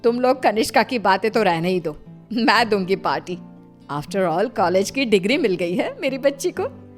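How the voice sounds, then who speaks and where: A woman's voice: mid-range voice, lively intonation, moderate pace, faint music behind, pitched above the performer's own voice, natural voice. A woman voicing a doting middle-aged mother in a cartoon story, emotional and fussing over her child. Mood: cheerful, proud.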